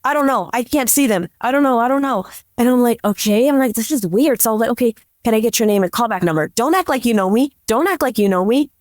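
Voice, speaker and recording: Female podcast guest, pitch a little high. Informal, chatty storyteller who acts out bits of dialogue, recorded close and dry. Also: expressive, fast, no echo. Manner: chatty, informal, heavy use of "like", quotes dialogue. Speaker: podcast guest, police dispatcher. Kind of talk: casual anecdotal storytelling.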